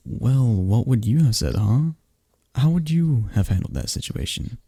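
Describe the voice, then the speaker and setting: A man's voice: mid-range voice, unhurried, creaky, husky, no room sound. A young man speaking in a scripted roleplay as a close friend, talking animatedly to someone the listener never hears. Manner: talks to an unheard listener, chatty and animated. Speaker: ASMR roleplay performer. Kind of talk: scripted friend roleplay, one-sided conversation.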